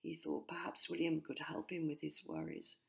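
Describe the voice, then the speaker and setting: A woman narrating a children's story as its author, measured and expressive. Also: low-pitched, vocal fry.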